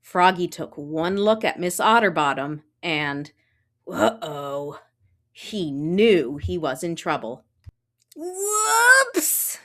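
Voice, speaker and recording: A woman reading a children's picture book aloud, with expressive, drawn-out storytelling and sound effects. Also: low voice. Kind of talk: animated children's read-aloud narration.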